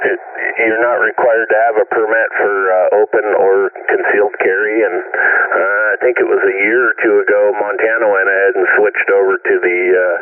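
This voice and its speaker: Laid back guy rambling on the cb, lowish voice, sounds far off and thin. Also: fairly even intonation, normal speed, few pauses. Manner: easygoing, rambling, lots of uhs. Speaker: CB radio operator. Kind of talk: casual CB radio chat. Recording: distant, phone-like CB radio.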